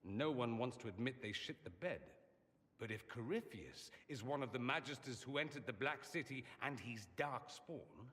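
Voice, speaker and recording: An actor playing a vain, witty mage in a fantasy video game, with an arch, sardonic and theatrical delivery. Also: male voice, mid-range voice, animated, moderate pace, fairly bright tone, long reverb tail, lightly acted. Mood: wry, grave. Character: witty, vain mage from a fantasy empire, wryly self-aware. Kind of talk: dramatic video game dialogue.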